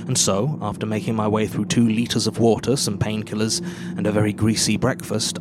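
Deep, dry, uptight archivist. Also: male voice. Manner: measured, deliberate, with hushed line endings. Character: dry, uptight male archivist reading eerie statements, easily exasperated. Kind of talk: live audio drama, dramatic horror narration. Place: live theatre stage.

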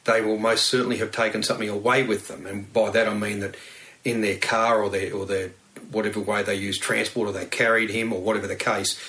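An Australian man with a fairly low voice speaks as a former detective being interviewed. He explains things off the cuff, in a halting, roundabout way with plenty of hedging. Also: flat delivery, bright, forceful tone, low-quality recording. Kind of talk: unscripted expert interview.

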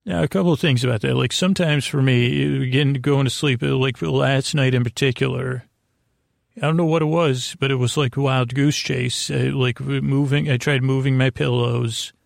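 An American man hosting a podcast, giving a soothing, meandering bedtime monologue in a halting way, often repeating himself and trailing off. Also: medium pitch, steady tone, vocal fry, no room sound, studio quality. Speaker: podcast host.

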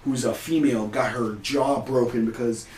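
A man's voice: mid-range voice, moderate pace, recorded from a distance. A man in his thirties from Puerto Rico, a podcast guest telling stories in a loose, profane, laughing chat. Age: 30s. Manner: animated, profane, laughs often. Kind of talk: casual storytelling chat. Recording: roomy, off-mic with faint hiss.

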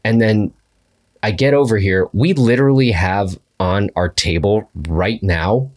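A man's voice: lowish voice, unhurried, a little mellow. A young man hosting a casual podcast chat, talking in a dry, joking, self-mocking way. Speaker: podcast host.

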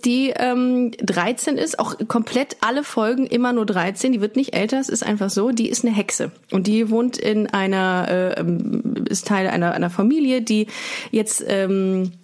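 A woman's voice: mid-range voice, moderate pace, darkish tone. A woman hosting a casual podcast chat in a joking, ironic way. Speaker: podcast host.

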